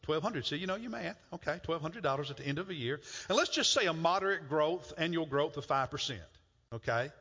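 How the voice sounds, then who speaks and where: A man's voice: a little high, lively intonation, a little fast, pushed and bright, husky. A middle-aged man preaching a conversational sermon as a pastor, often repeating words as he works toward a point. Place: church sanctuary. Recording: muffled church hall with long reverb.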